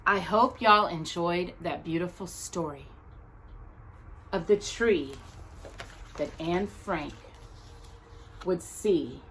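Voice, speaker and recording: A woman reading aloud as a storytime host, speaking earnestly and deliberately to young viewers, phrase by phrase. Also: lowish voice, a bit bright, a little hiss or hum, rough recording.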